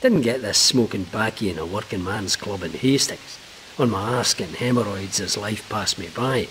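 An actor playing a persuasive spy recruiter in a video game, informal, matey and insistent. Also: male voice, on the low side, normal speed, fairly bright tone, a little hiss or hum, close to an everyday voice, rough recording. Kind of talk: video game cutscene acting.

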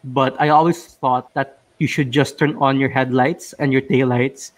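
A young man in a casual panel discussion, explaining in a measured way with filler words, speaking into a large mic on a video call. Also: medium pitch, fairly even intonation. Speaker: motoring panelist. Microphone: large studio mic on video call.

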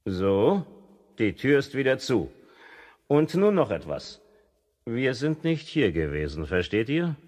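A sly, teasing uncle in a seventies children's radio play. He talks slowly and mock-grandly, with very lively swings of pitch. A long hall reverb trails the voice. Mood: secretive, bossy. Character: sly, teasing adult uncle with shady habits. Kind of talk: 1970s German children's radio play acting.

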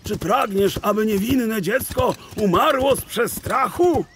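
A man's voice: sits high, unhurried, a bit bright, slight background noise, voice pushed up. A man voicing an indignant old rider who grandly scolds his horse in an audiobook story.

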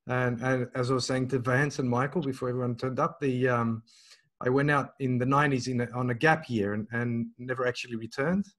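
A man's voice: mid-range voice, steady tone, fairly quick, a bit bright. A man in his forties from Australia, talking hesitantly with many ums and restarts on a video call. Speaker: language school owner. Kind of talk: casual video call chat.

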